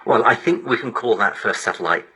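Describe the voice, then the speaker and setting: A man's voice: lowish voice, animated, a little fast, pushed and bright, poor audio. A man hosting a radio interview, engaged and prompting his guest with informal phrasing, heard over digital shortwave radio. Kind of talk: broadcast interview. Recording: slightly rough digital shortwave radio broadcast.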